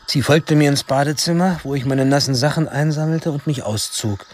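A man's voice: a little high, moderate pace, noisy background, natural voice. An actor playing a young man candidly narrating his own story in a German radio drama. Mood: reflective. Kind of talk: scripted first-person radio drama narration. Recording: clear music under narration.

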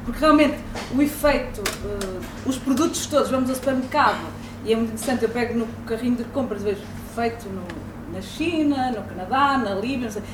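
A woman with a European Portuguese accent, a university lecturer speaking in a debate, pausing and hedging as she thinks aloud. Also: on the high side. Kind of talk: public debate. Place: hall at a public debate. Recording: echoey room from far off, noisy.

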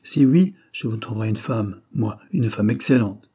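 Storybook doctor, middle-aged man, voiced by a male narrator: bossy yet kindly, blunt questioner, husky tone. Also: fairly low pitch, lively intonation, normal speed, warm tone. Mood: impatient, insistent. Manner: curt, commanding, firing questions.

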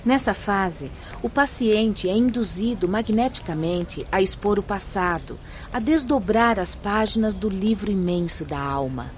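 An actress playing a wise, gentle spirit teacher in a Brazilian radio drama, speaking formally and with measured, didactic care. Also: female voice, mid-range voice, animated, slight background noise, lightly acted. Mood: solemn, instructive. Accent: Brazilian Portuguese. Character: wise, gentle woman spirit instructor explaining afterlife procedures.

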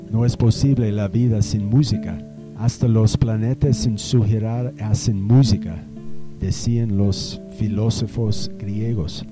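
A man playing a refined, musical old gentleman from the 1800s in a radio drama, speaking in a slow, reflective storytelling way. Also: medium pitch, lightly acted. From Colombia. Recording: music bed under the voice.

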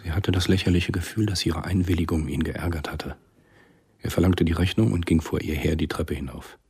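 A man narrating a radio spy thriller as a sober, observant storyteller, husky and measured. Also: low-pitched, fairly even intonation, a little mellow, exaggerated voice. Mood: sober, restrained. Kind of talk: scripted radio drama narration.

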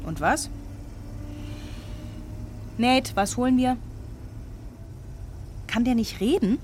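A woman playing a defiant, sharp-tongued woman in an audio drama, sarcastic and exasperated with the people helping her. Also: fairly high pitch, lively intonation, slow pace, slight background noise. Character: defiant, impatient young woman seeking help, sharp-tongued. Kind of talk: naturalistic German audio drama acting.

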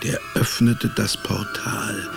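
Hard-boiled detective storyteller, gravelly, unhurried, wry and weary, music underneath. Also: male voice, lowish voice, full character voice. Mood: wry, dreamlike. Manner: dry, laconic, deadpan. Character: wry, weary male private detective telling his own story. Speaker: narrator and lead character. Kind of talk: hard-boiled first-person radio drama narration.

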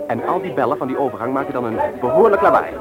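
An actor playing a cocksure, bossy young ringleader in a radio play, instructing with firm emphasis. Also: male voice, medium pitch, lively intonation, racing, loud music behind the voice, close to an everyday voice. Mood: confident, instructing.

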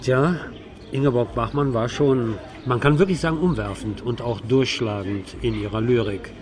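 A man's voice: medium pitch, normal speed, a crowd in the background. A man hosting a conversational cultural radio interview. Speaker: radio host interviewing a guest.

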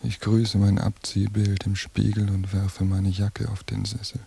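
Worn out lonely surveillance agent, low monotone husky mumble, deadpan radio play thoughts. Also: male voice, full character voice. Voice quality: husky, gravelly. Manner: flat, deadpan, clipped phrases. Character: tired, lonely male night-surveillance agent, detached and bitter. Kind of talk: radio drama interior monologue.

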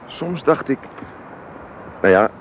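A man's voice: medium pitch, very animated, slow pace, muffled recording. A hesitant, wistful father. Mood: wistful, reflective. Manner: hesitant, repeating words as he searches. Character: wistful, affectionate father back from travels. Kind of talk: naturalistic radio drama acting. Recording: noisy radio play recording.

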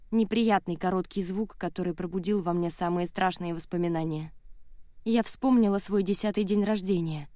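A woman's voice: medium pitch, dark tone, no room sound. A woman audiobook narrator reading a dramatic first-person novel, close on the mic in a studio.

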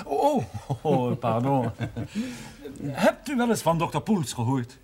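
An actor playing a courteous company administrator in a period television drama, formal, wordy and persuasive. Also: male voice, a little high, wildly swooping pitch, slow pace, darkish tone, exaggerated voice. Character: courteous, smooth-talking land company administrator on business. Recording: faintly noisy old television soundtrack.

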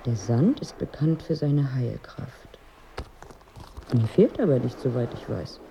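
An actress in a radio drama playing a wry, frustrated wife who needles and broods aloud. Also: female voice, rumbling low, expressive, unhurried, warm tone, slight background noise, exaggerated voice, rough recording. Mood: wry grumbling. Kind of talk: radio drama dialogue and monologue.

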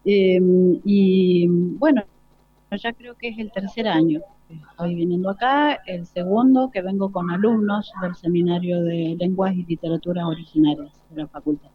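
A woman's voice: on the low side, normal speed, slightly rough. A woman, a university language specialist, giving unscripted interview answers. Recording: slightly muffled live radio sound.

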